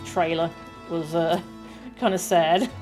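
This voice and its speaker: A woman chats casually while streaming a game. Her voice sits a little low for a woman, and faint music plays behind her. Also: takes it slowly, darkish tone. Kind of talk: casual live game stream commentary, reading dialogue aloud.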